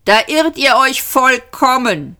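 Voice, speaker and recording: Woman putting on a slow, pompous alien commander. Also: high-pitched, very animated, sharp tone, pitched above the performer's own voice, heavily acted. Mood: menacing, commanding. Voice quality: screeching, grating. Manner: drawn-out, pompous delivery. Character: bitter, menacing, pompous male alien commander. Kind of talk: audiobook character voice.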